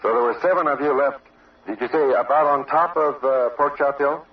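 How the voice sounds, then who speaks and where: A man's voice: mid-range voice, steady tone, moderate pace. Male field reporter, muffled and distant. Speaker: radio reporter, interviewer. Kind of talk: on-location news interview. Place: outdoor observation post. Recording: dull, distant old radio field recording.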